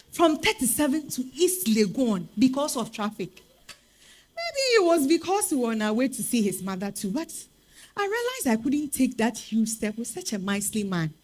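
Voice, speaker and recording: A woman's voice: high-pitched, a bit bright. A young woman with a Ghanaian accent playing a sassy, fed-up single woman in a dramatized stage reading, telling her story theatrically. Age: young adult. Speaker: stage performer. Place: live stage at a literary festival.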